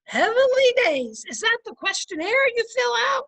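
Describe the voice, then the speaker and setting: A woman playing a dry-witted, gently teasing housewife in an old-time radio comedy, with a folksy manner. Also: extremely high pitch, sing-song, moderate pace, heavily acted. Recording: home video-call recording.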